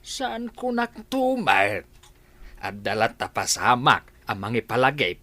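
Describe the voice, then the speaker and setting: An old Ilocano grandfather in a radio drama. He is puzzled and reflective, speaking very slowly in a lowish voice with wide swings, recorded dry and close. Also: huge pitch swings, bright, forceful tone, dry recording, exaggerated voice. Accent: Filipino, Ilocano. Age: older.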